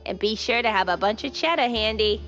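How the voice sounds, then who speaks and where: A woman's voice: mid-range voice, brisk, a bit bright, dull audio, faint music behind, lightly acted. A woman playing a spirited, streetwise young informant in a noir cartoon game, cheeky and familiar. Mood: chummy, teasing.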